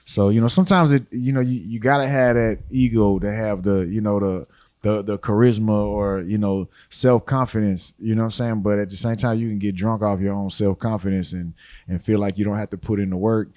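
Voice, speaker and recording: Laid-back Texan man, low dry voice. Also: brisk, no room sound. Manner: relaxed, frequent "you know what I'm saying". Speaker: podcast guest, rapper. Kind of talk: casual podcast chat.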